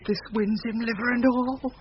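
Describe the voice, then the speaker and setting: An actor playing a sly, mischievous servant plotter, urgent and conspiratorial, in a vintage radio Shakespeare comedy. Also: male voice, extremely high pitch, fairly even intonation, normal speed, a little hiss or hum. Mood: hushing, amused. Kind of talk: 1970s radio Shakespeare acting. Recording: dull vintage radio recording, busy background.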